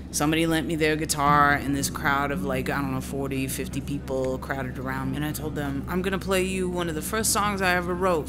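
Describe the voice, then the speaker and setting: A candid female musician, played by an actress, speaks in a low register. The delivery is conversational radio-drama storytelling, natural and casual, over clearly audible ambient noise. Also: close to an everyday voice. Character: candid woman traveler recalling a painful betrayal, musician.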